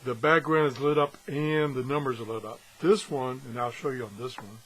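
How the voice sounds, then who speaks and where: A man with a slightly husky voice gives a casual, unscripted hands-on review, pausing and thinking aloud as he goes. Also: mid-range voice, fairly even intonation. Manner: halting, thinking aloud as he handles things. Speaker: gadget reviewer and video host.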